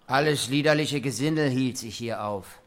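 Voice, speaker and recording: A man plays a depraved robber captain in a radio play, making his confession in a middle-pitched voice. He takes his time over archaic phrases, savouring each grisly detail with methodical relish. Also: moderate pace, natural voice. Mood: matter-of-fact, boastful.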